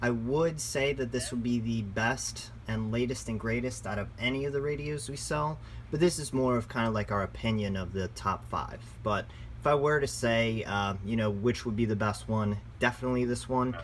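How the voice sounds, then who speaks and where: A man's voice: mid-range voice, normal speed. Man reviewing gadgets casually over background noise. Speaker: product reviewer and radio shop presenter. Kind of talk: casual product review, unscripted.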